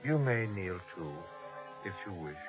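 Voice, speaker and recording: A man's voice: lowish voice, sing-song, measured pace. A man playing a devout, earnest guardian of a holy stable in a 1950s radio drama, speaking with reverence and pleading. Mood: gentle, solemn. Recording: muffled vintage radio drama recording, music behind.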